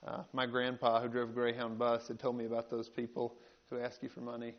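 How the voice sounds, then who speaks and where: A man with a Southern American accent and a mid-pitched voice speaks to an audience in a folksy, storytelling way. He goes haltingly, repeating words as he finds his way. The live recording sounds dull and band-limited. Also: completely flat delivery. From rural North Carolina, United States. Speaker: invited panel speaker.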